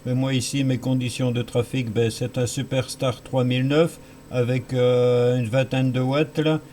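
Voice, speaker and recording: A light hiss of radio noise surrounds a man of average pitch. He speaks as an amateur operator in casual contact, plain and matter-of-fact, often saying a phrase twice. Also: flat delivery, moderate pace, mellow. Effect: two-way radio. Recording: slightly noisy radio transmission.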